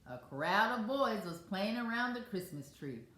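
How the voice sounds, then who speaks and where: Woman storyteller, children's read-aloud, expressive, very varied pitch, roomy echo. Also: unhurried. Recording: echoey room.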